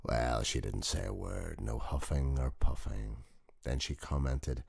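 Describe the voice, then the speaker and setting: A man performing a dramatic audiobook monologue as an old working-class widower mechanic, gentle, proud and nostalgic, telling his story in a conversational way. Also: a bass voice, measured pace, gravelly, husky, voice pushed down, heavily acted. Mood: fond, reminiscing. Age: older.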